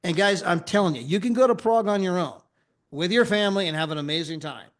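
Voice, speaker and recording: A man from the United States giving an energetic travel club sales pitch, often checking in with "right?" and "okay?". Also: fast talker.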